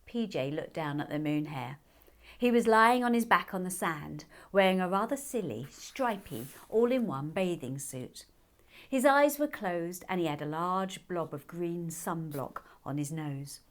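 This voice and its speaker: A woman reading her own children's book as an expressive storybook narrator. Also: on the low side, unhurried.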